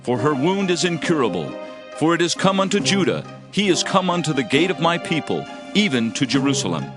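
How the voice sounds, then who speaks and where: Man acting as a grave prophet, animated delivery. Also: on the high side, brisk. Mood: mournful. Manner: solemn, declamatory, archaic scripture phrasing. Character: grave male Hebrew prophet proclaiming judgment and lament. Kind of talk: dramatized audio Bible reading. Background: background music.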